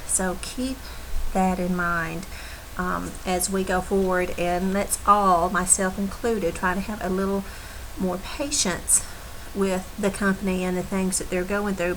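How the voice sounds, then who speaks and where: A woman, a little raspy from a cold, giving an earnest live update to her team, full of ums and restarts. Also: on the low side, slight background noise, rough recording. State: recovering from a cold, slightly raspy.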